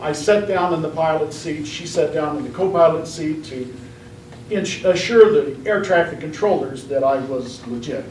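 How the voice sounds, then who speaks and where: A man telling a tall tale as a speech contestant, in a measured, deadpan comic storytelling style. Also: on the high side. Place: indoor room at a speech contest. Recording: roomy, distant mic, faint noise.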